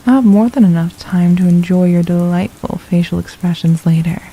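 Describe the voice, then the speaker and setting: A woman playing a possessive, condescending royal villain in an ASMR roleplay, speaking in a soft, silky, deliberate murmur with menace and mockery. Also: lowish voice, slightly rough, low-quality recording. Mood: mocking, gloating. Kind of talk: ASMR roleplay, intimate scripted monologue.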